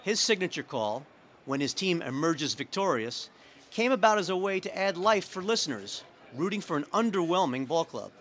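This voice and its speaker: A man's voice: sits high, sing-song, moderate pace, fairly bright tone. A man reading scripted narration for a news feature as a reporter.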